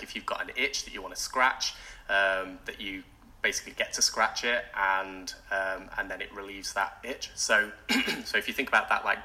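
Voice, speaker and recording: A man with a very bright, forward tone and a fairly low voice speaks as a studio guest. He explains things casually and rambles, with plenty of ums and restarts. His first language was British Sign Language. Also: low-quality recording. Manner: hesitant, many ums and restarts. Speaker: event organizer, guest. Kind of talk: casual interview chat. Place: radio studio.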